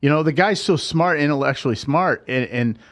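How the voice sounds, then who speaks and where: A man's voice: a little high, a little fast, fairly bright tone. A middle-aged man, a guest on a podcast, chatting casually and telling stories, often checking in with "right?". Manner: storytelling, frequent "right?" asides. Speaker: podcast guest, tech recruiter and dating coach. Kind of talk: casual interview chat.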